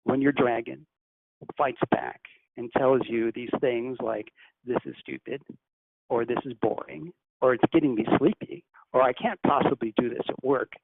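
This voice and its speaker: A man speaking as a channeled spirit teacher, guiding a breathing meditation in a slow, deliberate, instructive way. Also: medium pitch, fairly even intonation, gravelly, slightly rough, no room sound. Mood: teaching. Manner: slow, deliberate, instructive, softly hushed in exercises. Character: ascended master spirit, calm, commanding teacher. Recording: tinny phone line, faint hiss.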